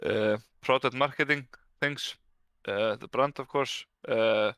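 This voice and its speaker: A man's voice: mid-range voice, slow pace, fairly bright tone, clean tone, no echo. A man from Iceland, a brand director and guest, answering interview questions in an easygoing way with frequent restarts.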